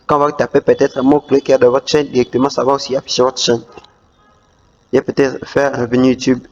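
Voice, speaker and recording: Flat, hesitant man with dull lo-fi sound. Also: mid-range voice, fairly quick, a little hiss or hum. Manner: hesitant, many "euh" fillers and restarts. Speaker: YouTube tutorial host. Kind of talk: screen-recorded tutorial walkthrough. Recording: dull lo-fi voice-over.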